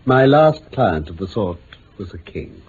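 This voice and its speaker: A man's voice: on the low side, sing-song, unhurried. A shrewd English detective questions with cool courtesy and authority. His phrasing is formal and dryly ironic, with a very mobile melody. The vintage drama recording is dull, with a light hiss. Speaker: actor in the lead detective role. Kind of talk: 1950s-60s BBC radio drama acting. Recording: muffled, hissy old radio recording.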